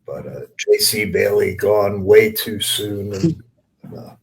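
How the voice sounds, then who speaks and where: A middle-aged man chats casually like the veteran wrestler he is, reminiscing at a slow pace. His voice sits fairly low, and he repeats words for emphasis. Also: level tone, vocal fry. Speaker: veteran wrestler, guest commentator.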